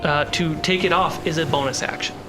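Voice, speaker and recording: A game master narrating a tabletop role-playing game in a measured way, pausing between phrases, with music behind the voice. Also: medium pitch, steady tone. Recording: background music under the voice.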